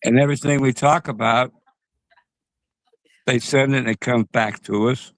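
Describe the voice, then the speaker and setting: A man chatting loosely on a talk show, tripping over his words and starting his sentences over and over. His voice sits at an ordinary pitch. The sound is dry and close, with no room around it. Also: fairly even intonation, moderate pace, dry recording, low-quality recording. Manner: rambling, stumbling restarts, chuckling asides. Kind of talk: casual chat.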